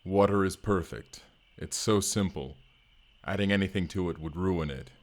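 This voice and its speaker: A man plays a gruff wasteland barbarian working as a hired fighter, with a very low voice. He speaks earnestly and literally in stiff, simple sentences, as in a cinematic audio roleplay. There is noticeable background noise. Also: normal speed. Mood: content, simple. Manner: stilted, literal, plain short sentences without contractions. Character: gruff, earnest wasteland barbarian turned corporate combat operative. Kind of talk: immersive first-person audio roleplay acting.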